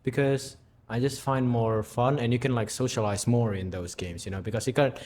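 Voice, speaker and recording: A man's voice: mid-range voice, fairly even intonation, moderate pace, a little mellow, slight background noise. A young man from Indonesia co-hosting a casual podcast, laid-back, filling his talk with like and you know. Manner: laid-back, many likes and you knows. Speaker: podcast co-host. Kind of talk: casual chat.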